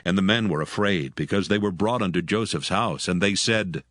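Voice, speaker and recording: A man narrating a dramatized Bible story in a measured, formal manner over music. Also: sits low, no echo, studio quality. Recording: loud music bed under voice.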